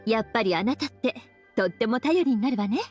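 A woman's voice: on the high side, lively intonation, quick pace, darkish tone, faint music behind, lightly acted. A woman voicing a sultry teasing witch librarian. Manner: languid, teasing, calls herself big sister. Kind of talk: Japanese game dub.

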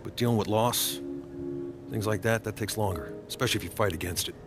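An actor playing a calm, supportive middle-aged American team trainer in a video game, measured and reassuring. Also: male voice, fairly low pitch, over music, close to an everyday voice. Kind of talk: naturalistic video game cutscene acting.

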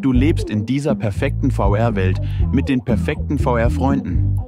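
A man's voice: medium pitch, measured pace, lightly acted. A man voicing a worried father pleading with his daughter in a children's audio drama, with music underneath. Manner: concerned, earnest. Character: worried father of a teen girl.

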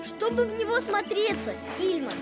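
A friendly cartoon little boy, cheerful and childlike. The voice is very high and lively, over loud music. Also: moderate pace. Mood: amused. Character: cheerful young boy of the far north. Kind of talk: animated series character acting.

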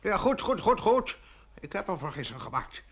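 Flustered pompous mayor in an old radio play, gravelly and husky, kinda high for a man. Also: very animated, normal speed, fairly bright tone, exaggerated voice. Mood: bossy, blustering. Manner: lamenting, stammering, pompous. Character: flustered, blustering male village mayor, self-pitying then bossy. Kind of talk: Dutch radio play acting.

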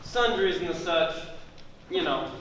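A man's voice: fairly high pitch, animated, unhurried. A man playing a folksy, stubborn oddball country customer in a stage comedy, with rustic old-fashioned phrasing. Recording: distant, very echoey, noisy theatre stage recording.

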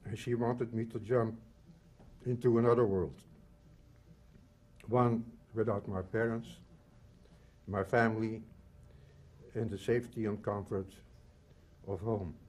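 A man's voice: lowish voice, steady tone, a little mellow. Solemn man in his eighties. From Netherlands and Belgium. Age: 80s. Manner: measured and solemn, in short phrases. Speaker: Holocaust survivor giving testimony. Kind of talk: reading a prepared personal testimony.

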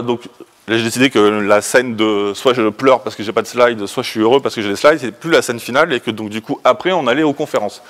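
A man, giving an informal technical talk as a conference speaker, in a casual, colloquial, hurried manner. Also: mid-range voice. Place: indoor presentation room, standing by a projection screen.

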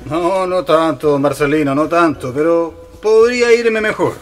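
An actor playing a kind, naive shopkeeper in a radio play, with a Chilean accent and an insistent way of repeating himself. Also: male voice, fairly high pitch, unhurried, close to an everyday voice. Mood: earnest. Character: kind, naive, soft-hearted fabric shopkeeper. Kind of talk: radio drama acting. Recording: music behind the voice.

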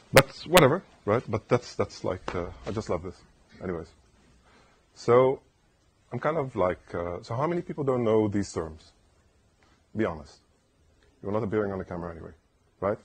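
A man with a soft, soothing voice gives a loose conference talk in a lecture room. His voice sits low and sounds very husky and breathy. He rambles and keeps asking the room "right?". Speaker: conference speaker. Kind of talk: casual technical talk.

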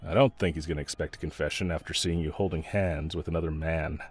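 A man's voice: very deep voice, steady tone, fast, a little hiss or hum. A man playing a snarky, pragmatic yet protective mercenary escort in a fantasy audio roleplay, talking to the listener in a wry, teasing, laid-back way.

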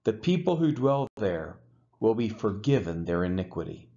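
A man reading scripture aloud in a measured, unhurried way, as the regular reader of a daily series. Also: on the low side, muffled recording. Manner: measured, expressive rises and falls.

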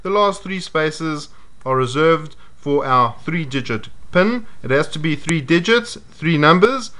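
A man giving a measured, step-by-step walkthrough of programming a device, voiced over close-up footage. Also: a little high, animated, a bit bright, a little hiss or hum. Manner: measured, methodical explaining. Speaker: product demonstrator. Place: indoors at a table.

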